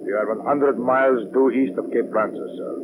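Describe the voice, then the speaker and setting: An actor playing an obedient, formal submarine first mate in an old radio drama, reporting in a crisp, deferential way. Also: male voice, medium pitch, fairly even intonation, a little fast, phone-quality sound, close to an everyday voice. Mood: dutiful. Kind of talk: 1940s radio drama acting. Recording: noisy old radio recording.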